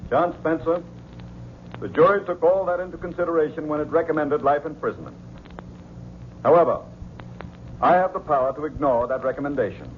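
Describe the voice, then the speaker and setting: A man's voice: a little high, slight background noise, lightly acted. Stern judge on muffled old radio , a man acting. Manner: formal, authoritative. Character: stern, unyielding, cold male judge.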